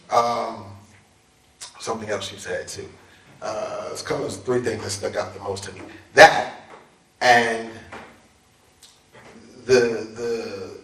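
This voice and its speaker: A man in an informal panel talk, emphatic and plain-spoken, with preacherly asides. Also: medium pitch. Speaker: dance scholar and panel speaker. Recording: echoey hall, distant mic.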